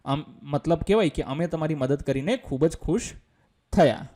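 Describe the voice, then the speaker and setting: A man's voice: fairly high pitch, normal speed, mellow. A man with a Gujarati accent teaching a school English lesson, explaining step by step in a colloquial way and often answering his own questions.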